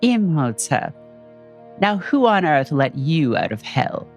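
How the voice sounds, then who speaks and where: A woman's voice: low voice, sing-song, slow pace, soft background music, exaggerated voice. A woman playing a sly, mocking captive villainess in an audio drama, taunting theatrically. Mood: sly, amused.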